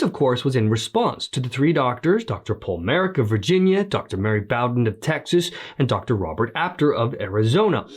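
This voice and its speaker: A man's voice: medium pitch, darkish tone, slightly rough. A man hosting an online news show, reading scripted commentary in a slightly crackly voice after the flu.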